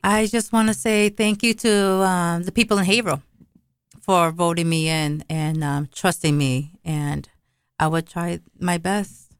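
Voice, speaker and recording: A woman guest on a radio talk show speaks frankly and unhurriedly, laughing easily. Her voice is smooth and clear, close and dry in the studio. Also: on the low side, fairly even intonation, a little slow, no echo. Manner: laughs often, easygoing and frank. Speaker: guest, school committee member. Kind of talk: casual radio interview.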